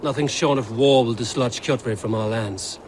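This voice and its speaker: An actor playing a proud, defiant Viking warrior in a video game, speaking bluntly. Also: male voice, medium pitch, moderate pace, background noise, lightly acted. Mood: warm. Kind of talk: video game cutscene acting.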